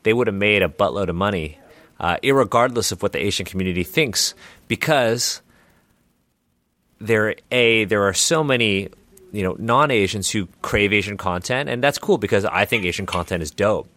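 A man's voice: lowish voice, moderate pace, a bit bright, dry recording. A man answering interview questions, explaining earnestly at length with frequent restarts. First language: Chinese not first language.

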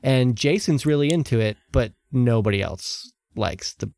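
A man's voice: medium pitch, normal speed, gravelly. A man co-hosting a podcast, chatting casually about a TV episode and laughing often. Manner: animated, laughs often, storytelling. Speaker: podcast co-host. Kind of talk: casual TV recap chat.

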